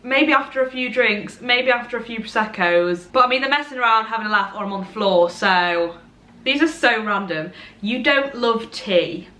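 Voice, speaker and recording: A woman's voice: on the high side, moderate pace, bright, forceful tone, roomy sound. A young woman in her twenties from Derbyshire with a Midlands accent, chatting casually and rambling to a camera at home. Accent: English Midlands. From Derbyshire, England. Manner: chatty, rambling, self-deprecating. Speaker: vlogger. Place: bright room at home, indoors.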